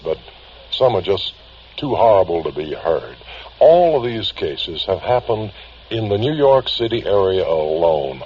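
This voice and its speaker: A grave older man confides his fears in a low voice that rises and falls expressively. He speaks haltingly and earnestly, in the manner of vintage radio drama, on a muffled recording with slight hiss. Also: a little mellow. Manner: halting, deliberate, earnest, pausing mid-phrase. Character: grave, worried older man confiding strange cases on tape. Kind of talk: vintage radio drama acting, confessional monologue.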